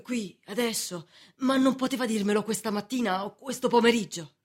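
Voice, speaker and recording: A woman's voice: fairly high pitch, moderate pace, natural voice. Woman acting a brusque, changeable young lodger. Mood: weary, impatient. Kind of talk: theatrical radio drama acting.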